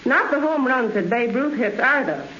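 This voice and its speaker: High teasing woman on echoey radio. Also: animated, moderate pace, a bit bright. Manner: teasing, playful. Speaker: guest's wife. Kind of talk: scripted remote radio chat. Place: home trophy room. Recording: echoey, dull remote radio line, hiss.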